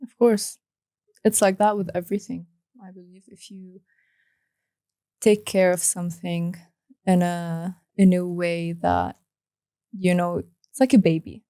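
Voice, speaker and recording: Young female interviewer, low mellow voice, dry recording, thoughtful questions. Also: level tone, measured pace, pauses often, no room sound. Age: young adult. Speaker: interview host. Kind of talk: casual interview conversation.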